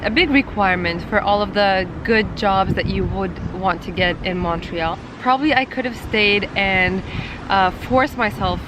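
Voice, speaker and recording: A chatty Turkish-born woman in her thirties talks straight to the camera about her own life at an easy, even pace. Her voice sits in a middle register. Clear traffic noise from the city fills the background of this outdoor recording. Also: medium pitch, a little slow. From Turkey. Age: 30s. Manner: chatty and conversational, frequent "uh" and "um". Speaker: channel host. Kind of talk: casual vlog storytelling to camera. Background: traffic and city ambience.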